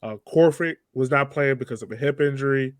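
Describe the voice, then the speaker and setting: A man's voice: mid-range voice, brisk, no room sound. A man hosting a sports podcast in a casual, conversational style. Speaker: podcast host.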